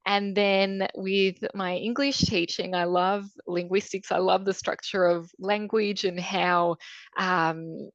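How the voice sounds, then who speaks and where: A woman's voice: mid-range voice, steady tone, takes it slowly, dry recording, highs cut off. A woman author, talking in a casual interview, thoughtful and chatty with many ums and restarts. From Croatia. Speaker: author guest.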